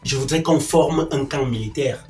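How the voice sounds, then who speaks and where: A man's voice: high-pitched, sing-song, normal speed. A man acting as an exasperated, domineering middle-aged father in a heated domestic drama, indignant and commanding, repeating his questions and orders. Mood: stern, aggrieved. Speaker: actor. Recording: roomy echo, distant mic, music.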